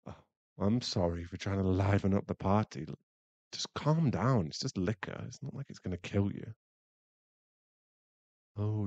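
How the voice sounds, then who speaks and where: A young man playing a teasing, bashful bad-boy werewolf boyfriend in an intimate ASMR roleplay, halting and murmured. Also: on the low side, creaky, darkish tone, no echo, lo-fi sound. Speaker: voice actor in an audio roleplay.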